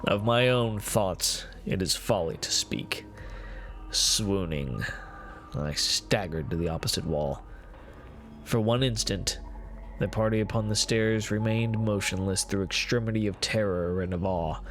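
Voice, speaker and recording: A man playing an unhinged, gloating murderer confessing his crime in a theatrical, deliberate gothic horror reading. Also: fairly low pitch, faint music behind. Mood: hushed dread. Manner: theatrical, ornate, deliberate phrasing with swelling emphasis.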